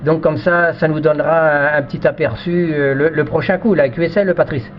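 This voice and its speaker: A man's voice: fairly high pitch, steady tone, brisk. A man in a casual technical chat as an amateur radio operator, often repeating himself and hesitating as he explains. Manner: repetitive, hesitant phrasing. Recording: muffled band-limited radio audio, faint noise.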